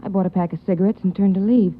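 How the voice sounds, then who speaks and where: An actress playing a shaken young woman recalling a crime she witnessed in a 1940s radio drama, halting and stammering. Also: medium pitch, steady tone, close to an everyday voice. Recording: thin phone-like 1940s radio.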